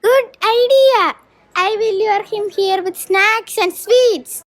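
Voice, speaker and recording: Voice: extremely high pitch, slow pace, fairly bright tone, exaggerated voice. A young student playing a wicked, greedy, jealous neighbour in a school puppet show. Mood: scheming. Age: child or teenager. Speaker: student performer.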